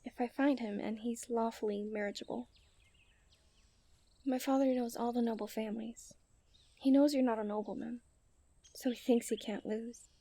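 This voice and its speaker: A woman playing a confident, romantic young princess in a soft, intimate ASMR roleplay. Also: a little high, fairly even intonation, moderate pace, pauses often, dry recording. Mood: reassuring. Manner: soft, intimate delivery that often drops into whispers.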